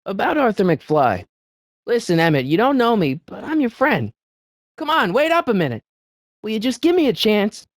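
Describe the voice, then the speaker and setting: A man's voice: high-pitched, big pitch swings, moderate pace, no room sound, studio quality. A man playing an earnest, wisecracking American teenage boy in a video game, with a youthful voice and a casual, slightly hesitant, eager manner. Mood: coaxing.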